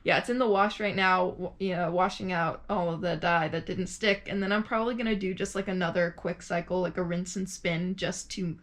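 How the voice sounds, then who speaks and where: A woman's voice: fairly low pitch, fairly even intonation, brisk, hardly any pauses, fairly bright tone. A woman talking casually and informally in a vlog, loosely rambling as she shares updates as an emerging playwright. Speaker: vlogger and early-career musical theatre playwright.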